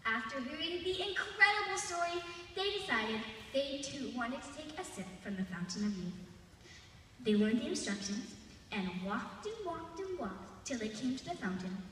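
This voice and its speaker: A young girl of about eleven tells a folk tale to a live audience in a high, theatrical voice. Her pitch moves freely and she leans on dramatic pauses. The sound is distant and washed in the long reverb of a large arena, with faint noise. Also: sharp tone. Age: child, 11. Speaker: storyteller. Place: large indoor arena, live festival stage.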